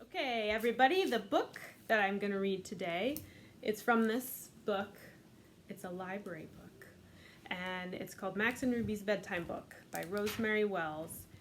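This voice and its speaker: A woman librarian leading a children's storytime, reading aloud gently and unhurriedly with a few fillers. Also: medium pitch, normal speed, a little hiss or hum.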